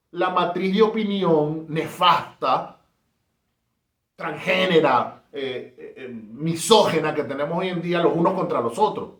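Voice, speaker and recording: A man from Caracas with a Venezuelan accent, giving an emphatic, rhetorical talk on history. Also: fairly high pitch. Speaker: history presenter. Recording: roomy echo.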